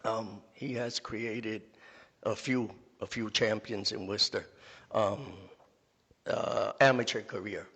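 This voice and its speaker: A man's voice: lowish voice, steady tone, gravelly, a bit bright, breathy edge. Enthusiastic male sports talk host. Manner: enthusiastic, quick interjections. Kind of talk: casual interview. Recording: long reverb.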